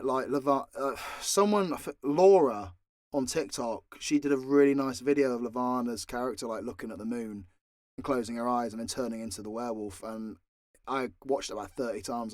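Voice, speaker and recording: Man, medium pitch, casual unscripted Q&A, candid, chatty, sweary, dry echo-free sound. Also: a little fast. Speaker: voice actor and audio creator answering fan questions.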